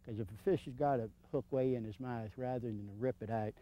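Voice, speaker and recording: A man's voice: mid-range voice, a little fast, mellow. A man in his fifties giving informal, hands-on instruction in a plainspoken, folksy way, repeating his points, on a dull old video recording. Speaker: surf fishing instructor. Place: outdoors on a sandy beach.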